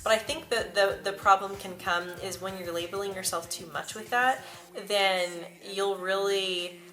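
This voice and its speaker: Young woman with a stutter, bright voice. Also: mid-range voice, fairly even intonation, normal speed. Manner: frequent stutter with repeated sounds and words; earnest and personal. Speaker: vlogger. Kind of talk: casual talk to camera. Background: soft background music.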